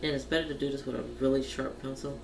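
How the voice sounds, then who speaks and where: A woman with a gravelly, creaky voice talks through her colouring in an informal, folksy way. She sounds away from the microphone in an echoey room, with clear noise on the recording. She speaks casually, as if thinking out loud for her viewers. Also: extremely low pitch, little pitch movement, fast talker. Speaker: host of a colouring tutorial vlog. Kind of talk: casual chat while colouring. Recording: roomy, noisy, distant home camera mic.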